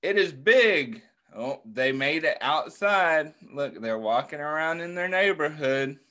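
Slow-talking American story time man. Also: a little high, animated, sharp tone. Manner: encouraging, asking questions and praising listeners. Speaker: children's story time host. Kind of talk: interactive picture book read-aloud for young children. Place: indoors on a video call.

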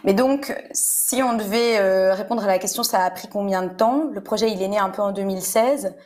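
A woman's voice: medium pitch, normal speed, a little mellow. Chatty young woman interviewer. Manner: chatty, questioning, light laughter. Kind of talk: interview over video call. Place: indoor room.

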